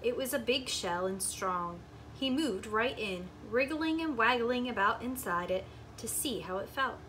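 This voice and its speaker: A woman reading a children's story aloud like a preschool teacher, with expressive, deliberate phrasing. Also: on the high side, slight background noise, low-quality recording.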